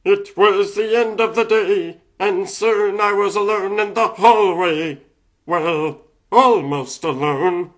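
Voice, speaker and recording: A man's voice: high voice, slow. A man voicing a young mouse basketball star, telling his story in wry, slangy scripted narration for a comic dub. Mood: wry, reflective.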